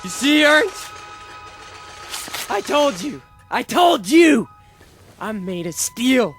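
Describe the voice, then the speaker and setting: An actor playing a boastful, unstable young fanatic in a radio drama, intense, stammering and repeating himself. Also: male voice, extremely high pitch, sing-song, slow, over music, exaggerated voice. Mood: fanatical boasting. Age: young adult.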